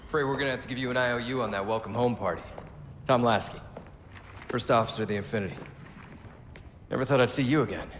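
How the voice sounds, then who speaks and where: A man voices an earnest starship first officer in a video game. He talks briskly in a mid-range voice, using clipped military radio phrasing. His voice trails into a long reverb over a faint ambient hum. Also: medium pitch, close to an everyday voice. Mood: friendly.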